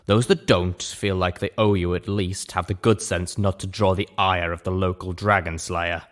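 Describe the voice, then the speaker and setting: Man voicing a low, gruff, shrewd mercenary leader. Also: fairly even intonation, moderate pace, natural voice. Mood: shrewd, businesslike. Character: tough, shrewd female winged mercenary leader.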